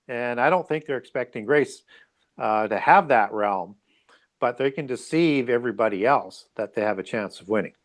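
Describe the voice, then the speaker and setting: A man giving explanatory answers as an interview guest, speaking conversationally and haltingly with frequent check-in tags. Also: medium pitch. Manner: halting, explanatory, often adds "right?" and "you know".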